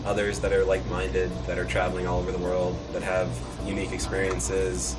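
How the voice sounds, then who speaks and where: Male interviewee, low register, relaxed conversational answers, clear music bed underneath. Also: brisk. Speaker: seasonal worker being interviewed. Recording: background music over the voice.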